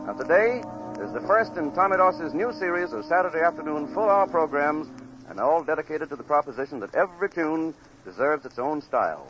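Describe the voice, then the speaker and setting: A man's voice: on the high side, animated, a little fast. A man with an American accent announcing on a 1940s radio broadcast in formal, flowery showman's patter. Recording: dull 1940 radio broadcast, music behind. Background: big band music.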